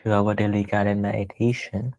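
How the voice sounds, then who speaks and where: A man leading a guided meditation as a teacher, speaking gently and deliberately with pauses between short phrases. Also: on the low side, little pitch movement.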